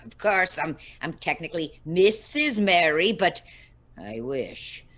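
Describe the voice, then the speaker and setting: Actress playing a vain female diva, animated swooping pitch, dramatic, affected, radio comedy drama. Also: slow pace, heavily acted. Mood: wistful, flattered. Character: Mary, vain theatrical diva wife, easily flattered.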